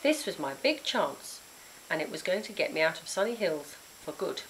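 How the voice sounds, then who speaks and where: A woman narrating a children's storybook in an expressive, dramatic and emphatic way. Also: on the low side, normal speed, a little hiss or hum, rough recording. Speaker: author reading their own book.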